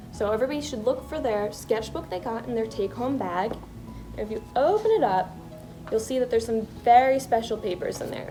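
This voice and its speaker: A woman art teacher giving children simple, encouraging step-by-step instructions. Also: on the high side.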